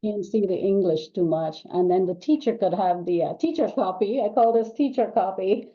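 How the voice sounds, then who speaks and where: A woman's voice: mid-range voice, normal speed, dark tone. Older Trinidad-born woman rambling over a dull call. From Trinidad and Tobago. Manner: rambling, with many ums and restarts. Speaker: guest author. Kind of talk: casual interview chat.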